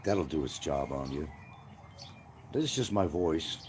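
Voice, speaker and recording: A man's voice: a bass voice, lively intonation, unhurried, a little mellow, slight background noise. A man hosting a rambling livestream outdoors by a field, answering comments in a blunt, combative way. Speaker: livestream host.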